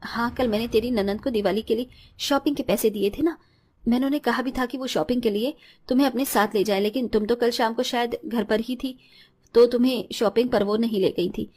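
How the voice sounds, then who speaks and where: A woman acts out a homely in-law in an animated family tale. Her voice is a little high. She speaks in an earnest, caring and dutiful way. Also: fairly quick, husky. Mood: questioning, reassuring. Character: caring, firm mother-in-law and gentle, dutiful daughter-in-law. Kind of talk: Hindi cartoon story dialogue.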